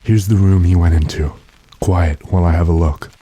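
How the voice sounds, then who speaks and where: A man playing a resolute, impatient gentleman master in old-time radio drama, giving commanding, clipped instructions. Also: low-pitched, fairly even intonation, moderate pace, dry recording. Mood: intent, hushed.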